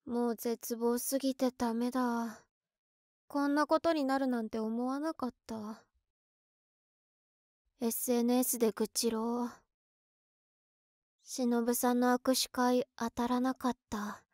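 A woman's voice: a little high, fairly even intonation, slow pace, long pauses, a little mellow, dry recording, clean studio sound. A woman playing an overworked, emotional office worker in her early twenties, talking to herself in an audio drama monologue. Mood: dejected.

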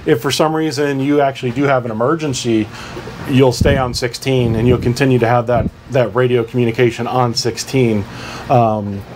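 A man presents an informal how-to guide in a mid-range voice. He is plain-spoken and instructive, taking things one step at a time. Clear ambient noise runs behind his speech. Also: medium pitch, steady tone, normal speed. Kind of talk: informal tutorial explanation.

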